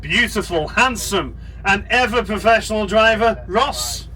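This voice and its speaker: A man's voice: sits high, measured pace. A man with a Liverpool accent working as a tour guide, giving chatty, jokey commentary to a busload of visitors. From Liverpool, England. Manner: chatty, jokey, rallying the crowd. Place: on a tour bus. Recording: distant voice with loud bus noise.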